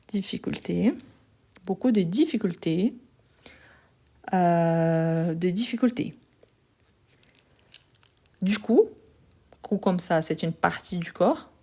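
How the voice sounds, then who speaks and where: Woman teacher, lowish voice, talks slow, keeps asking ok?. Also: mellow. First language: Portuguese. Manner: patient, repeats words slowly, checks in with "ok?". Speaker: French teacher. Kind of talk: correcting a written exercise.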